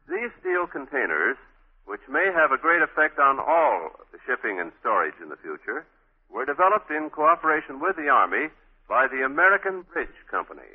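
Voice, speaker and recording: A man reading a scripted sponsor message as a formal, deliberate 1940s radio announcer. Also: mid-range voice, breathy edge. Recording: muffled 1940s radio broadcast.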